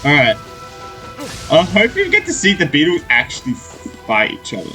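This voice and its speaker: A man's voice: fairly high pitch, wildly swooping pitch, normal speed, sharp tone, faint music behind. A young man streaming a game, laid-back, joking and swearing as he comments. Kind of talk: casual gameplay commentary.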